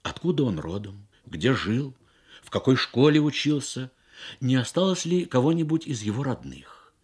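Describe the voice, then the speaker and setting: A man narrating a literary story for radio with measured, expressive phrasing and deliberate pauses. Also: medium pitch, husky. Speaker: story reader.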